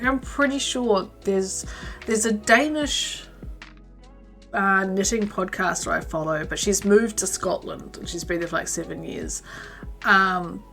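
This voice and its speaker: Woman, from New Zealand, crochet stream host, chatty, rambling, mid-pitched voice, faint music behind. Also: fairly bright tone. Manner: chatty, rambling, reads out and answers chat; muttering stitch counts under her breath. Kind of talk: casual chat while crocheting.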